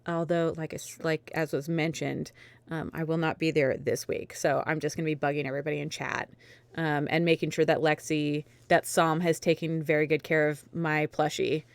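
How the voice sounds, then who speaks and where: Woman host with clear, low-set voice. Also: flat delivery, moderate pace, no room sound. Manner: effusive, grateful. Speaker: streamer and host of a fan fiction reading show. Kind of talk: casual livestream sign-off chat.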